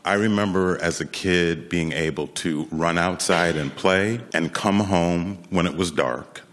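Very deep-voiced American man in his late fifties. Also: a little slow, fairly bright tone, slight background noise. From Rochester, New York, United States. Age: late 50s, nearly sixty. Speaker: speaker telling a personal story to an audience. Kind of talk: personal storytelling talk to an audience.

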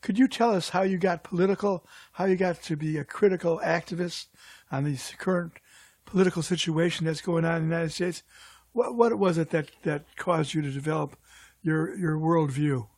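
A man's voice: on the high side, steady tone, normal speed, darkish tone, no room sound. Polite, dry-sounding male radio host. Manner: hesitant, polite questioning. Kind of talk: radio interview.

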